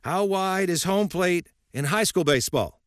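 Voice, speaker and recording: A man voicing a gruff, fiery old baseball coach who barks and bellows at a crowd. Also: high voice, normal speed, no room sound, studio quality, pitched above the performer's own voice, close to an everyday voice. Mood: barking. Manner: barking, bellowing.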